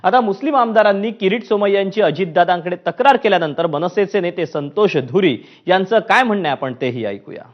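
Guy reading news, formal and punchy, pretty high voice, bit muffled. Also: expressive, brisk, hardly any pauses. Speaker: news presenter. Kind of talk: scripted news narration. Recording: muffled broadcast audio with music bed. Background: news music bed.

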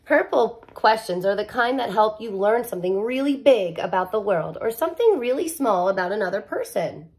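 Animated woman reading aloud to children. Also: mid-range voice, moderate pace, hardly any pauses. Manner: expressive, playful, emphatic on key words. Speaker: teacher reading a picture book aloud. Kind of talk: animated children's read-aloud with casual asides.

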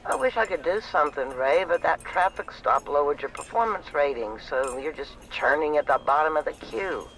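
Apologetic male dispatcher, resigned, highish voice, faint noise, audio drama. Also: expressive, moderate pace, few pauses, a bit bright, low-quality recording.

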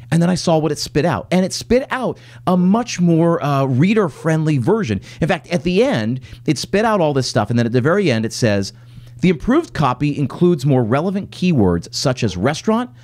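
A man's voice: mid-range voice, a little mellow. A man in his forties hosting a solo podcast from a home office, reading points aloud and then explaining them loosely with fillers and restarts. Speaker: podcast host.

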